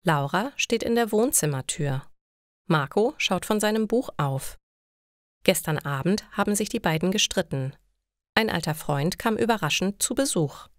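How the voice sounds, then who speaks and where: A woman's voice: fairly low pitch, animated, moderate pace, no room sound, clean studio sound. A woman narrating scripted short stories for language learners.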